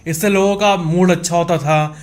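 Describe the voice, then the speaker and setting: High-voiced man narrating facts over music. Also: fairly even intonation, moderate pace. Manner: conversational, explains by repeating points, addressing viewers directly. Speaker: presenter of a facts video. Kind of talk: informal explanatory voice-over narration. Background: background music.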